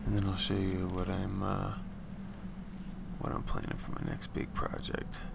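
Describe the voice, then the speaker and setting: A young man with a raspy morning voice, casually rambling through gameplay commentary with slang. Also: sits low, monotone, a little slow, heavy background noise. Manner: slangy, rambling, laid-back. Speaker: gaming channel host.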